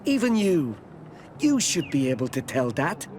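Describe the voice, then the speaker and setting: An actor playing an irritable, nervous village gatherer in a video game, curt and brusque. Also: male voice, fairly high pitch, very animated, moderate pace. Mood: irritated, dismissive. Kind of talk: video game character acting. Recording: loud music over the dialogue.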